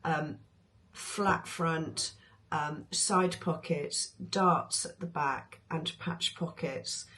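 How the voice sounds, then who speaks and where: A woman with a hoarse voice, chatting casually about her favourite sewing patterns, with many ums and restarts. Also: sits low, drawn-out words, bright, forceful tone. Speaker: sewing vlogger.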